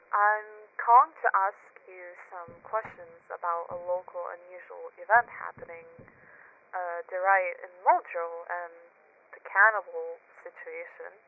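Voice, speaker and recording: Woman acting as earnest female radio news host, tinny. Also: on the low side. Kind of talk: scripted radio news broadcast. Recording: muffled lo-fi radio broadcast sound.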